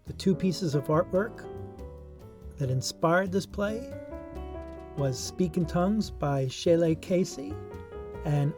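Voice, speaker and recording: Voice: mid-range voice, slow pace, long pauses. A podcast host reading a scripted introduction. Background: plucked guitar music.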